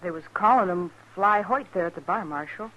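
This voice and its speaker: A woman's voice: fairly low pitch, expressive, fairly quick, fairly bright tone, dull audio. A woman playing a frightened, hesitant young frontier saloon woman, plain-spoken and subdued, in 1950s radio western style. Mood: timid.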